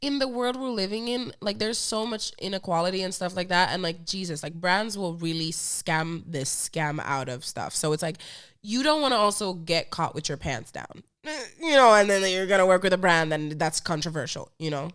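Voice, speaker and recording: A woman's voice: lowish voice, animated, normal speed, fairly bright tone, clear, resonant tone. A young woman who speaks English natively, chatting casually and candidly as a podcast guest in a bubbly way, peppering her talk with "like". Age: young adult. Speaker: podcast host appearing as interview guest. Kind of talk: casual chat.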